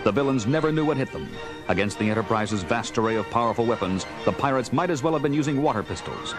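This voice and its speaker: Adventure narrator, played by a man: brisk, dramatic storytelling over loud music, vintage audio drama. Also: mid-range voice, expressive, natural voice. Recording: vintage 1970s record, loud music behind.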